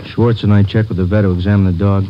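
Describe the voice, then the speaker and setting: An American man playing a brisk, sober policeman making terse reports in a 1950s radio drama. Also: on the low side, level tone, close to an everyday voice. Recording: muffled old radio recording, faint noise.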